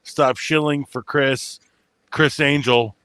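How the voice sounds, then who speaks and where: A man's voice: medium pitch, steady tone, slow, fairly bright tone, smooth, dry recording. A man hosting a casual chat with friends, rambling through stories with lots of fillers and swearing, in a soothing voice. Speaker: channel host.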